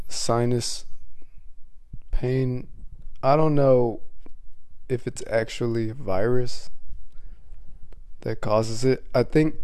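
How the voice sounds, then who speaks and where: A man's voice: mid-range voice, mellow, clear, resonant tone. A man hosting his own podcast alone, talking casually with a scratchy voice from a cold, rambling with frequent restarts. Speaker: podcast host; waiter. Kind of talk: casual solo podcast monologue.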